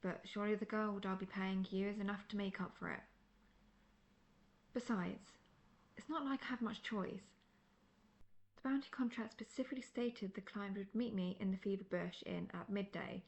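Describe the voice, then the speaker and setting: A woman playing a seasoned, world-weary female monster hunter in a fantasy audio roleplay, speaking in a measured, formal, dryly condescending way. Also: mid-range voice, steady tone, lightly acted. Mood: dry, wry.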